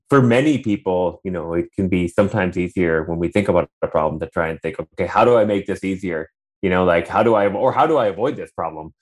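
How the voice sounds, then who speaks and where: Low-voiced earnest man hosting a podcast. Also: a little mellow. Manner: earnest, effusive, many fillers and restarts. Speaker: podcast host. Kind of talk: interview podcast.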